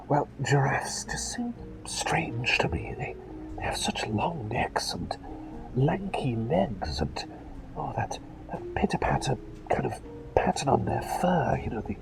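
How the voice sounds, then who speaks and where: A man acts out an eccentric plague doctor for an ASMR roleplay. The voice is mostly a whisper with a gravelly creak, swinging widely up and down. He rambles in polite, old-fashioned phrases, absent-minded and hesitant. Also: medium pitch, full character voice, rough recording. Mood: uneasy musing. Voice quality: breathy whisper with creaky edges.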